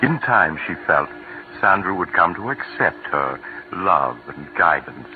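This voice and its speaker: A man giving measured scripted narration for a 1940s radio drama. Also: sits low, very animated. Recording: tinny old radio broadcast with music.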